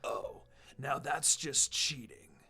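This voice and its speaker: A man voicing a menacing enemy swordsman in a story reading, with a hushed, breathy, taunting delivery. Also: medium pitch, heavily acted. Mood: mocking threat. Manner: taunting, drawn-out. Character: menacing, mocking male enemy swordsman ninja.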